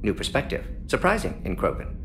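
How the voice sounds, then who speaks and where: An actor playing a brisk male alien scientist who talks in clipped phrases, dropping small words. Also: lowish voice, big pitch swings, a bit bright, a little hiss or hum. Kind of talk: video game voice acting.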